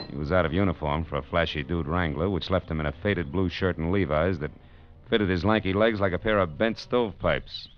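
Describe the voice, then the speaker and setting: A man's voice: low-pitched, normal speed, lightly acted. An American man playing a wry, tough private detective in an old-time radio drama, sardonic and clipped in his delivery. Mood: wry narrating. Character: wry, tough, nosy male private detective, worldly and unflappable. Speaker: narrator and lead actor. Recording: dull vintage radio recording, music behind.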